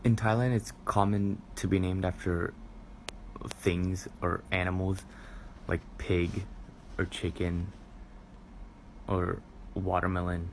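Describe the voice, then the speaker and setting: A man talking casually to camera in a flat, matter-of-fact way. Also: deep voice, slow pace, pauses often, a little hiss or hum, low-quality recording.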